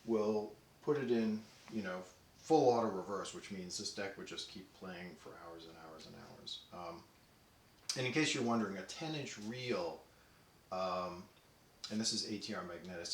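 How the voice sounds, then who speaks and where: A man casually demonstrating a tape deck, talking in a relaxed way with many ums and restarts. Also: sits low, a little hiss or hum. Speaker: audio equipment reviewer. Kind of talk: casual product demo. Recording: roomy echo with faint music.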